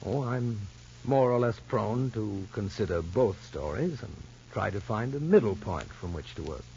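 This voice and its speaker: Calm authoritative doctor, low voice, lively intonation, formal, deliberate, 1950s soap drama. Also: male voice, gravelly. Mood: firm, reasoning. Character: measured, authoritative male child psychologist, calmly probing. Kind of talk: 1950s soap opera radio acting. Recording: muffled vintage broadcast with faint noise.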